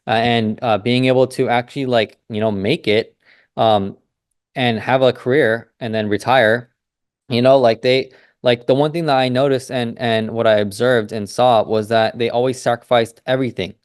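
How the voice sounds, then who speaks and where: A young man hosting a business talk, speaking off the cuff in a loose, rambling way full of fillers and restarts. His voice sits in an ordinary middle pitch. The sound is dry and close, with no room behind it. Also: steady tone, normal speed, clear, resonant tone, no room sound. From United States. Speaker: business consultant host. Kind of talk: casual monologue.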